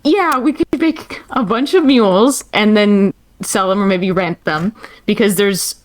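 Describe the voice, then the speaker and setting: A woman's voice: medium pitch, unhurried. A woman chatting casually and eagerly as a guest player in a game. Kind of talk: casual chat during a game.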